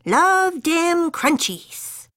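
A woman's voice: very high voice, big pitch swings, extremely slow, bright, forceful tone, voice pushed up, heavily acted. A woman doing a cocky little daredevil kitten. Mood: giddy. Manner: playful, boastful, mock Spanish words. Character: boastful daredevil kitten boy who thinks he's a chihuahua.

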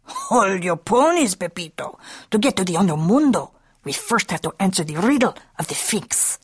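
Woman doing Don Diego, bossy Chihuahua leader, husky. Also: medium pitch, big pitch swings, moderate pace, no echo, studio quality. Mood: commanding.

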